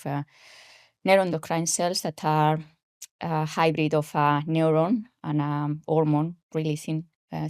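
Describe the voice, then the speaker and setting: A woman's voice: deep voice, a little mellow, dry recording, studio quality. A woman in her forties with a Spanish accent, a podcast guest talking casually and hesitantly with many fillers. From grew up in Spain. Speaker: podcast guest, health coach. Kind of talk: casual interview talk.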